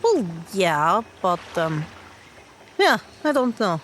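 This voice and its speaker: Slow, expressive woman roleplaying casually. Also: medium pitch, slight background noise. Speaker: tabletop game player. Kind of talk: casual in-character roleplay chat.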